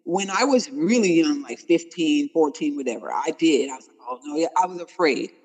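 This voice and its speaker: A woman in her forties hosting a dating talk, frank and slangy, coaching with animated, blunt questions. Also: low voice, a little fast, long reverb tail, poor audio. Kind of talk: casual chat.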